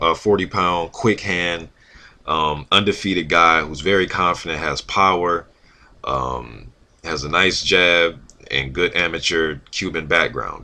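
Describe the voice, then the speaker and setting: A man's voice: sits low, sharp tone. A man giving casual, emphatic sports commentary as a vlog host, in informal slangy speech with some swearing. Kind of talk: casual opinion commentary, reading quotes aloud.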